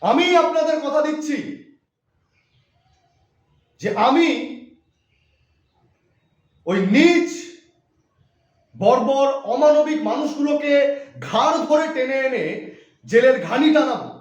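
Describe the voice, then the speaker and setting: Man acting very high-voiced fiery politician, echoing. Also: slow pace, long pauses, lo-fi sound. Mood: defiant, rousing. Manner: declamatory, oratorical, emphatic phrase-by-phrase delivery. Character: fiery opposition politician rallying wronged voters, righteous and indignant. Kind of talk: theatrical political speech monologue. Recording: very echoey, reverberant room sound.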